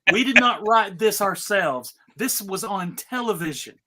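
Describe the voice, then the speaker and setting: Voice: mid-range voice, expressive, unhurried, bright, forceful tone. A panelist making casual asides during a live table read.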